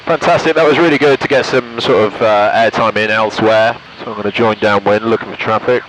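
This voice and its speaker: A man's voice: on the low side, steady tone, brisk, a bit bright, rough recording. A man pilot giving casual, self-critical, explanatory flight commentary and short radio calls. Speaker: pilot and vlogger. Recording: engine noise in light aircraft. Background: aircraft engine hum.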